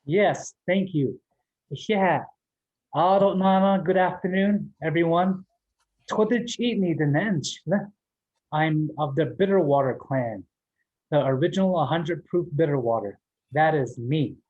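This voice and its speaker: A man's voice: fairly high pitch, unhurried, darkish tone, highs cut off. A man from the Navajo Nation in New Mexico, giving a casual motivational talk as guest speaker, joking and laughing often.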